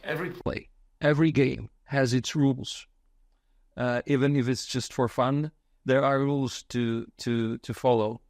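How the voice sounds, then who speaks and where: A man interviewing a poet, asking measured questions with frequent fillers between phrases. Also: medium pitch, steady tone, a little mellow, no echo, studio quality.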